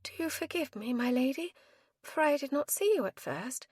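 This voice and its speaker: A woman voicing a young, dazed widow who speaks softly in a genteel, apologetic way. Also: high-pitched, lively intonation, normal speed, no room sound, clean studio sound, voice pushed up.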